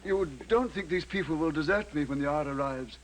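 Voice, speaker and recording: A man's voice: fairly high pitch, fairly quick, a little hiss or hum, lightly acted, rough recording. An actor playing an earnest, fanatical nobleman with a grandiose, solemn manner, in period radio drama style. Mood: fervent, solemn. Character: earnest, fanatical lord leading a crusade.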